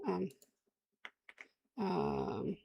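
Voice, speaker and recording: A woman live streamer with the flu, hoarse, chatting casually and rambling to her viewers. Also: lowish voice, huge pitch swings, drawn-out words, lo-fi sound, slight background noise.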